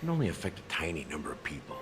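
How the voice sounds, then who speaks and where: An actor playing a wry, middle-aged male investigator in a video game, telling things in a measured way. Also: extremely low pitch, huge pitch swings, exaggerated voice. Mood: frank, weary. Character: older male family investigator and drink mixer, wry, unhurried. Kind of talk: video game story voice acting. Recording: game music softly behind.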